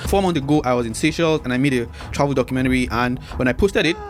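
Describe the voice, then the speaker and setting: A man's voice: mid-range voice, racing, music in the background. A man from Nigeria telling his travel experience in an earnest documentary narration.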